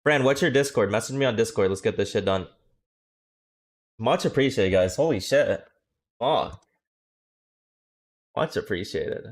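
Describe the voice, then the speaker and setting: A man's voice: mid-range voice, animated. A young man streaming, chatting casually and joking with his viewers. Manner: profane, joking, quick reactions. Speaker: streamer and coach. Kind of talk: casual livestream chat.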